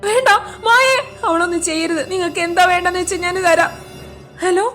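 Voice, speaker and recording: A woman's voice: very high-pitched, a little fast, fairly bright tone, faint music behind. A woman voicing a desperate, pleading husband of a kidnapped wife in a cartoon dub. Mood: frantic pleading. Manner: anxious, pleading. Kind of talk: amateur cartoon dub in Malayalam.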